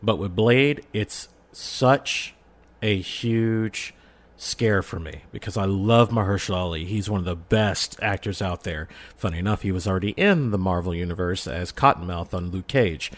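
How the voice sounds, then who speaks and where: A man chatting casually as a podcast guest, talking in an animated, emphatic fan's way. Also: sits low, normal speed. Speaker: podcast guest, film reviewer and audio drama creator. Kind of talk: casual podcast interview chat.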